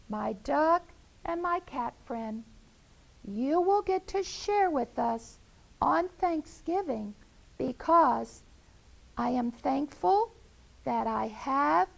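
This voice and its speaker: A woman voicing a proud, bossy mother hen in a children's story, in a high sing-song way. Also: vocal fry, full character voice, rough recording.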